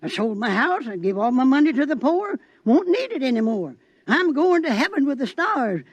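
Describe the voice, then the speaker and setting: A very high, reedy male cult believer in a 1950s radio play. He stammers and swells with rapture about his faith, his pitch leaping around. The sound is close and dry. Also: sing-song, moderate pace, no room sound, exaggerated voice. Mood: zealous. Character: fervent old male cult believer, zealous. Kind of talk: 1950s radio drama acting.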